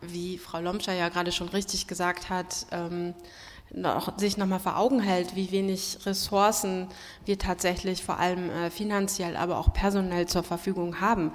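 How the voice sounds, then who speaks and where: A woman's voice: on the low side, level tone, moderate pace. A woman from Berlin speaking emphatically and with strong opinions as a panelist in a political discussion. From Berlin, Germany. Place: large hall at a public event. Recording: reverberant hall.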